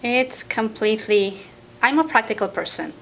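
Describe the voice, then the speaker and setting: A woman raised in Japan whose first language is Japanese, telling her story as a guest in a casual interview in a thoughtful, measured way. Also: a little high, animated, a bit bright, a little hiss or hum. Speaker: guest, Reiki practitioner.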